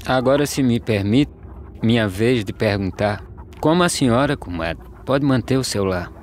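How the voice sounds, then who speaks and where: A man playing a poor, weary migrant farm labourer, speaking measured verse in an animated film. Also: mid-range voice, a little hiss or hum, lightly acted. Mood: polite, inquiring. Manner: measured, declaimed rhyming delivery. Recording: music behind the voice.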